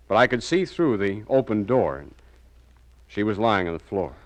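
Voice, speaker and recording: A man's voice: lowish voice, expressive, normal speed, close to an everyday voice. An American man playing an anxious, naive murder suspect in a 1940s radio crime drama, hesitant and earnest. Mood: nervous.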